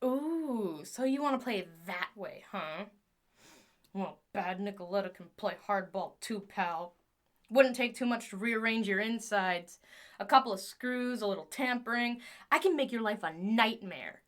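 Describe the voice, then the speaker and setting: A woman playing an excitable, scheming inventor in an audio drama, theatrically talking to herself as her moods swing. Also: medium pitch, unhurried, lightly acted. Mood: mock menacing.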